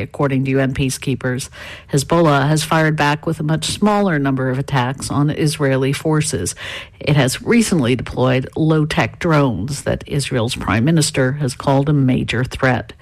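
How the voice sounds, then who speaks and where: A woman foreign correspondent filing a news report in a steady, factual delivery. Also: low-pitched, moderate pace, no echo.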